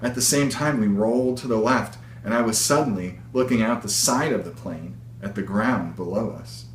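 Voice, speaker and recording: Male author-narrator, lowish voice, measured expressive book reading, strong room echo. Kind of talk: read-aloud story narration. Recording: echoey room with faint hum.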